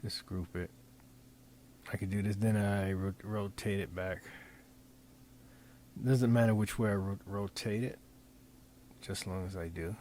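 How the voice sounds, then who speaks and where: A man talking through an informal software demonstration, improvising in a halting way as he thinks aloud and repeats phrases. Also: fairly low pitch, fairly even intonation, slight background noise. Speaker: presenter demonstrating design software. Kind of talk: informal screen-recording tutorial, improvised.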